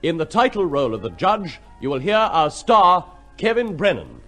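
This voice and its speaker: A man's voice: a little high, huge pitch swings, normal speed, sharp tone. A man announcing a radio theatre show in a formal, declamatory, dramatic 1950s style. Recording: vintage radio broadcast with music.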